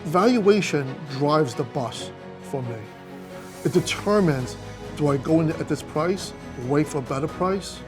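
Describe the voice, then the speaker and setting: A man's voice: a little high, animated, unhurried, over music. A man, a fund manager talking candidly about his career in a plainspoken, emphatic way. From New York City. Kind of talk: conversational interview monologue.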